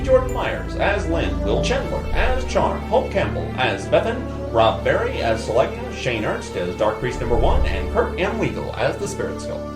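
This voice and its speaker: A man announces closing credits from a script in a high voice for a male. His intonation swings in a lively way from name to name. The sound is echoey, with loud music behind him.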